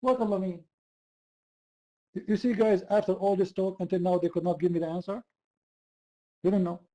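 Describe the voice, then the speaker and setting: A man's voice: high voice, fairly even intonation, moderate pace, dark tone. A man hosting a heated online debate, pressing the same question again and again. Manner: insistent, repeats the same question. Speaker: debate host.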